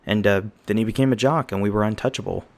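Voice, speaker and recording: A man's voice: fairly low pitch, fast, creaky. A man voicing a loyal young mage on lookout in an ASMR roleplay, talking in a casual, wry, reassuring way. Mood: chatty, nostalgic. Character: loyal, chatty young mage lookout, protective old friend.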